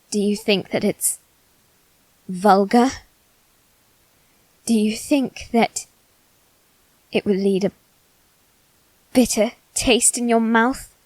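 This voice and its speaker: Woman acting as a proud aristocratic boy, slow measured delivery, close dry sound, halting, theatrical, wounded, ASMR roleplay drama. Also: a little high, a little mellow, no echo, poor audio. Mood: hurt, disbelieving. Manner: halting, theatrical, formal phrasing. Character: proud, wounded, self-loathing aristocratic boy facing his demon.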